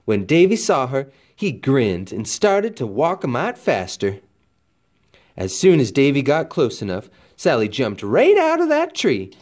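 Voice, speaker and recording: A man's voice: mid-range voice, wildly swooping pitch, a little slow, darkish tone. A man narrating a children's storybook expressively, with folksy, dramatic emphasis like a teacher reading aloud.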